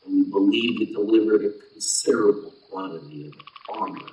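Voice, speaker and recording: Man playing deep, echoing proud alien warrior envoy. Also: mellow, close to an everyday voice. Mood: formal, guarded. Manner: formal, measured, deliberate. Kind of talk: audio play dialogue. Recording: roomy echo, distant from mic.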